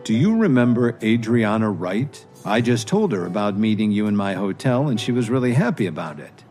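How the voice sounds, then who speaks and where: Assured, friendly businessman acted by a male narrator, animated delivery, music bed. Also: fairly low pitch, a little fast. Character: admiring, authoritative male hotel owner friend. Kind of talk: audio drama character voice.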